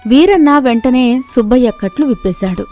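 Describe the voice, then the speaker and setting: Casting: female narrator for moral stories, fairly high voice, scripted read over soft music. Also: big pitch swings, normal speed. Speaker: storyteller.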